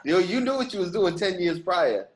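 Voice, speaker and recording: A man's voice: high voice, sing-song, normal speed, a little hiss or hum. An American man chatting casually and supportively as a podcast host, recorded in an echoey room. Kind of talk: casual chat, supportive responses.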